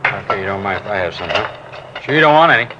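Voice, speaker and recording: An actor playing a folksy, slippery garage owner in an American crime drama, rambling along with ingratiating friendliness. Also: male voice, fairly low pitch, expressive, fast, close to an everyday voice. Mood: folksy, friendly. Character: chatty, folksy, slippery male garage owner feigning innocence. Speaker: actor in a police radio drama. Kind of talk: mid-century American crime drama acting. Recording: dull vintage broadcast, faint noise.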